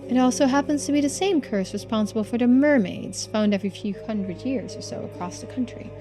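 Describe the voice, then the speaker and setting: Woman playing a high-voiced, genteel, secretive housewife. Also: over music, natural voice. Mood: knowing. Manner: polite, measured, formal, quietly persuasive. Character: genteel, composed, secretive housewife who knows occult lore. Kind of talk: video game dialogue read aloud.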